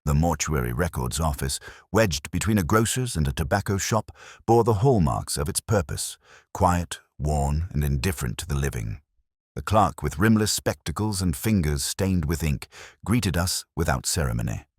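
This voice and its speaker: Very deep-voiced man narrating a mystery. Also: very animated, darkish tone, slightly rough, dry recording, studio quality. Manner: measured, formal, literary delivery. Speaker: audiobook narrator. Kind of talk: scripted mystery story narration.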